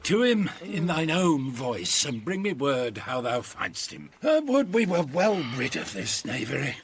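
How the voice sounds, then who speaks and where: An actor playing a scheming, roguish knight who relishes a prank, theatrical in a classical radio drama. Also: male voice, on the high side, big pitch swings, a little slow, a little hiss or hum. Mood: conspiratorial. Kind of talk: classical radio drama acting in Shakespearean English.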